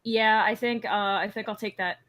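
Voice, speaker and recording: A woman's voice: mid-range voice, fairly even intonation, normal speed, fairly bright tone, no room sound. A woman chatting casually as a player in a tabletop role-playing game.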